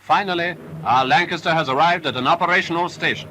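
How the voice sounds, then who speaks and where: A man's voice: on the high side, quick pace. A man narrating a wartime film in an emphatic, patriotic style, on a noisy restored 1940s film soundtrack. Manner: upbeat, emphatic, patriotic delivery.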